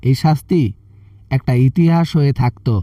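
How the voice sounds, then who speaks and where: A man's voice: on the high side, normal speed, dry recording, far from the mic, lightly acted. A man with a Bangladeshi accent playing a self-righteous member of parliament who scolds and threatens condescendingly in a comic phone-call skit. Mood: righteous threatening. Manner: berating, repeatedly addressing the listener condescendingly.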